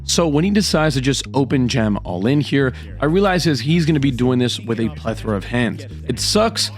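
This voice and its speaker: Male vlogger, mid-range voice, a bit hoarse, punchy dramatic voice-over with music behind. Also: mid-range voice, expressive, normal speed. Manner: hyped, dramatic play-by-play. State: slightly hoarse from a cold. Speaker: poker vlogger. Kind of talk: voice-over narration of poker hands. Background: background music.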